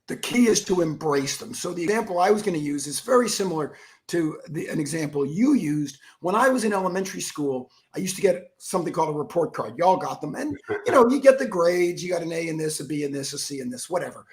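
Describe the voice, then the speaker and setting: A man with a froggy, rough voice telling stories as an energetic interview guest, acting out the quotes. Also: on the high side, fast. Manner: energetic storyteller who acts out quotes. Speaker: guest, keynote speaker and author. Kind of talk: animated interview talk.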